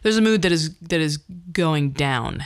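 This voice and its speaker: A woman in her fifties with a fairly low voice hosts a podcast monologue. She talks conversationally about her own life, with a wry, self-deprecating touch. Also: lively intonation, measured pace. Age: 50s. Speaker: podcast host. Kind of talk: personal storytelling monologue.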